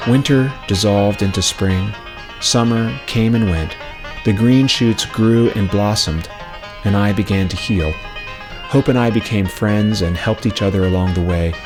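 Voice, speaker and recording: A man reading a scripted personal memoir in a measured, reflective storytelling way. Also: on the low side. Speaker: narrator of own memoir, former radio station worker. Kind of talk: scripted narration. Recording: music playing behind the voice. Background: plucked acoustic string music.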